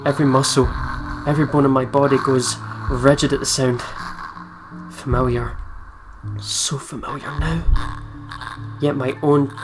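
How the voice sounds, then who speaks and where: A let's play host with a medium-pitched voice reads visual novel narration aloud. The delivery is dramatic, broken by casual asides, with soft music behind. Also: little pitch movement, a little slow. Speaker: gaming video host.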